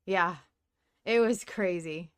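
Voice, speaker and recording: Excitable woman hosting a fan podcast. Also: fairly low pitch, slow, clean tone. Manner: excitable, effusive, self-deprecating. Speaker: podcast host. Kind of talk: casual fan podcast chat.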